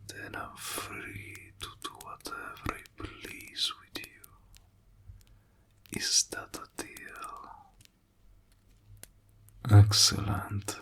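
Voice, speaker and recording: Man voicing a slow, gravelly, sly evil warlock. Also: heavily acted. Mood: smug, satisfied. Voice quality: breathy, gravelly, husky low voice. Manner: slow, deliberate, drawling, insinuating. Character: sly evil male warlock, teasing dark bargainer. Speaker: voice actor. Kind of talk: roleplay audio drama, intimate villain monologue.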